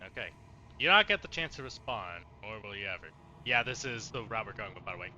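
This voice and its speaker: A man's voice: on the low side, expressive, a little fast, sharp tone, lo-fi sound, slight background noise. A man doing casual let's play commentary, thinking aloud and reading game choices out.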